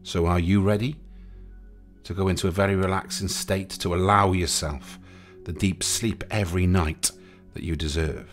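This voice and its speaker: A man narrating a guided sleep meditation in a soothing, gentle, unhurried way, leaving pauses between short phrases. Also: deep voice, steady tone. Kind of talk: guided sleep meditation, hypnotic relaxation countdown. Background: music.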